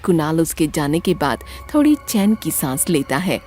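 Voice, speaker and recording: A woman with a fairly low voice tells a horror story. Her scripted narration is dramatic and very lively in pitch, over soft background music. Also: normal speed.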